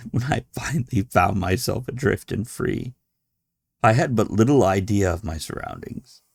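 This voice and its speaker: A man voicing a despairing, morphine-addicted sailor in a horror audiobook, grave and formal, halting for emphasis. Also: lowish voice, animated, gravelly, breathy edge, dry recording, studio quality, heavily acted. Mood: weary recounting. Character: despairing morphine-addicted sailor writing his final horrified confession.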